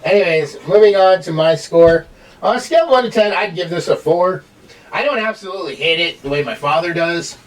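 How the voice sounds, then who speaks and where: A middle-aged man giving a casual, rambling review in a halting way, as a host. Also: high-pitched, a little hiss or hum. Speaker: amateur reviewer hosting with a child. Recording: distant mic, home recording.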